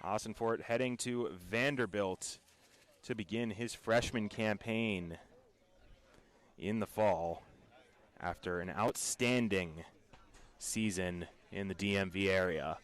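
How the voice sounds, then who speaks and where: Deep-voiced American baseball play-by-play man. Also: slow. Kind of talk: radio sports commentary.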